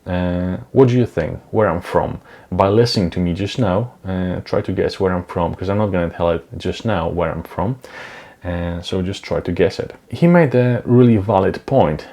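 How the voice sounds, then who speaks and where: A man in his thirties who speaks English as a second language, talking casually to camera in a home studio on a lapel mic, unhurried and with many ums and uhs. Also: low-pitched, dark tone. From Europe. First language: not English. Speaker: vlogger. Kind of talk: casual talking-head vlog. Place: small dim home studio, indoors. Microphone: clip-on lapel mic.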